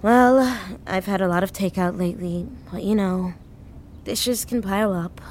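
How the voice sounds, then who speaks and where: A woman acting a grieving, anxious, self-deprecating woman in her thirties in an audio drama, speaking haltingly. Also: mid-range voice, darkish tone, slight background noise, lightly acted. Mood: wry, casual. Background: music.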